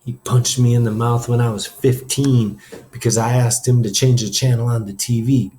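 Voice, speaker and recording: A man's voice: medium pitch, little pitch movement, moderate pace, dark tone, slight background noise, lightly acted. A man voicing a stern but caring male track coach in a story, speaking with confronting intensity. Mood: bitter, confessing.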